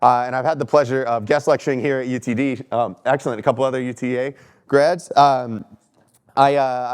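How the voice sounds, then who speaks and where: A man's voice: mid-range voice, a little mellow, smooth. Stuffy Texan man giving a talk. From Texas. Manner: conversational, self-deprecating, asks audience questions. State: stuffy from allergies. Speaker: keynote speaker. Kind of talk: conference talk. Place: stage in a lecture hall.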